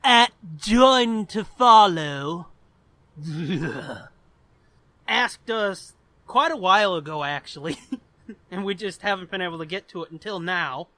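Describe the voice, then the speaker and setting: A man's voice: sits high, lively intonation, slow, pushed and bright, no room sound. A man co-hosting a podcast in casual comedic banter, crude and sardonic, swearing freely. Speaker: podcast co-host.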